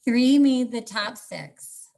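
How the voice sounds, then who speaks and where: A woman in her forties from Southern California, speaking in a measured, thoughtful way with some hesitation as she recalls things. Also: a little high, animated, creaky, mellow. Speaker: former college tennis player. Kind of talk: casual video call interview.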